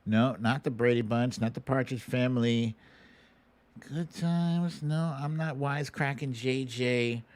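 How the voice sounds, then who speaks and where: Slow-talking American man hosting a livestream. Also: medium pitch, dry recording. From United States. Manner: informal, slangy, conversational, plain-spoken. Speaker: host of a political commentary livestream. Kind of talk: casual livestream commentary, taking viewer questions.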